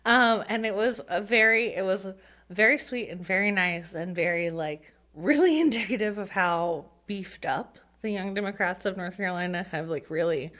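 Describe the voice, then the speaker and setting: A woman co-hosting a podcast, chatting casually about local politics, often laughing and pausing with fillers. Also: medium pitch, fairly bright tone. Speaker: podcast co-host.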